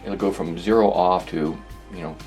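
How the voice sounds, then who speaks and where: Man, low gravelly voice, hobbyist workshop narration, rambling and musing, faint music. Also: steady tone, normal speed, a little mellow. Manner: hesitant, rambling, musing to himself. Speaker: host of a hobby electronics restoration video. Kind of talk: informal workshop narration, thinking aloud.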